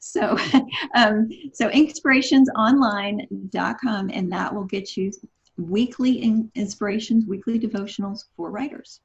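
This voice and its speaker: A woman's voice: mid-range voice, unhurried, muffled recording. A woman speaking as a podcast guest, giving conversational advice in an earnest, emphatic and encouraging way. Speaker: guest writer.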